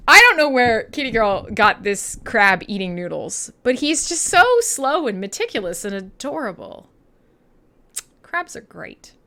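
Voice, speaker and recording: Animated, bright-voiced Canadian woman radio host. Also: fairly high pitch. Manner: excitable, profane, playful rambling. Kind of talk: casual, irreverent live radio chat. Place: her own home-style studio.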